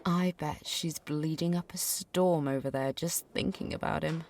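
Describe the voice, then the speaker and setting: A woman's voice: low-pitched, fairly even intonation, normal speed, a little mellow. A woman playing a mean, self-righteous schoolgirl bully in an audio drama, with a scornful, mocking manner.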